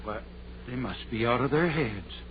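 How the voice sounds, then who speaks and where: A man's voice: medium pitch, normal speed, natural voice. A man playing a stern, determined country doctor leading a desperate search, in commanding 1930s radio drama style. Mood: urgent, commanding. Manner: commanding, urgent. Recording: muffled, noisy 1930s radio recording.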